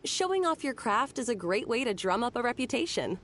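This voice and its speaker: A woman's voice: a little high, sing-song, fairly quick, fairly bright tone, slight background noise. A woman voicing a friendly, chatty townswoman who explains things helpfully in a video game. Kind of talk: video game character dialogue. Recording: music behind the voice.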